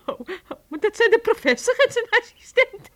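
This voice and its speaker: An actress playing an excitable, scatterbrained housekeeper in a comic audio drama, flustered, exclaiming and stammering. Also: female voice, extremely high pitch, a little fast, heavily acted. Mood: flustered, excited. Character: excitable, scatterbrained housekeeper, quick to laugh and defend herself.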